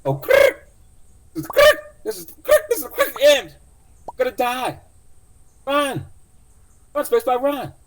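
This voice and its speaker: A shrill, panicky AI companion voice that squeals far above normal. It is loud and frantic, with faint crickets in the background. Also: male voice, huge pitch swings, unhurried, gravelly, pauses often, a little hiss or hum, exaggerated voice. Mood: panicked. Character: panicky male AI companion, shrieking.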